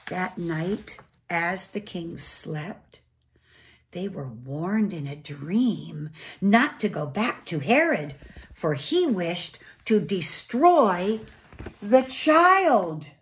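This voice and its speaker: A woman reading a storybook aloud to children as a church storyteller, with deliberate, dramatic phrasing and pauses. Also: fairly low pitch, sing-song.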